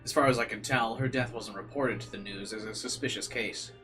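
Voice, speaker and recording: A man's voice: on the low side, steady tone, a little fast, pushed and bright. Man narrating over guitar music. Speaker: streamer reading a visual novel aloud. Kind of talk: dramatic narration with casual streamer asides. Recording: music playing behind the voice. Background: guitar game music.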